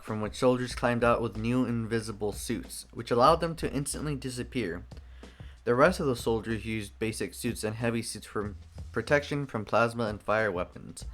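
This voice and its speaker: A man narrating a fan-made action story in an even reading voice. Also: mid-range voice.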